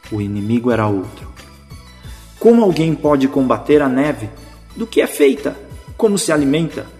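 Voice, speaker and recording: A man's voice: medium pitch, darkish tone, natural voice. A Brazilian man playing a whimsical, theatrical storyteller in an audio drama, addressing the listener directly and dramatically. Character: whimsical, theatrical male storyteller of a sci-fi Christmas tale. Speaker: narrator. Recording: long reverb, music bed behind.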